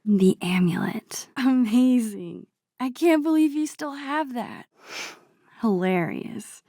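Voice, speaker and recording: A woman voicing a nerdy, gentle teenage girl in a video game, soft-spoken and often breathy, with a wry, slightly awkward, nostalgic way of teasing her best friend. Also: on the high side, slow pace, dry recording, clean studio sound. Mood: amused, nostalgic. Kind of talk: naturalistic video game dialogue acting.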